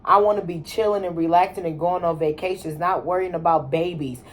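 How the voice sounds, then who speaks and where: A woman's voice: on the low side, fairly even intonation, fairly quick. A woman in her twenties talking casually and bluntly as the host, swearing freely and using slang. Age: 20s. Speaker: channel host. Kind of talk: casual chat.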